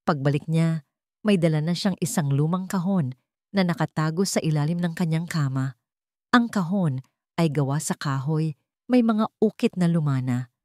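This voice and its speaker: Female storyteller for scripted first-person drama narration, lower-pitched, very dry recording. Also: moderate pace, a little mellow, no echo. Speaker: storyteller of Tagalog romantic drama stories.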